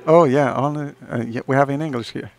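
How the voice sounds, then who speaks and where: Hoarse middle-aged man leading church. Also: medium pitch, fairly even intonation, fairly quick, darkish tone. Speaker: pastor leading a church service. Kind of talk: addressing a congregation and reading scripture aloud. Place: small church room, indoors, at a lectern.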